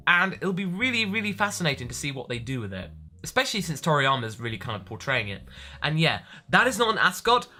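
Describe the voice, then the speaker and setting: A man around his thirties talking casually and enthusiastically in a question-and-answer vlog. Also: on the high side. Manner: enthusiastic, animated. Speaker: online vlogger.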